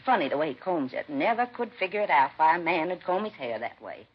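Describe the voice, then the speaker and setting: A woman's voice: low-pitched, sing-song, brisk, breathy edge, exaggerated voice. An actress playing a gossipy, blunt rooming-house landlady, chatty and folksy, in a 1950s radio crime drama. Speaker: landlady questioned by police. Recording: muffled, hissy vintage radio recording.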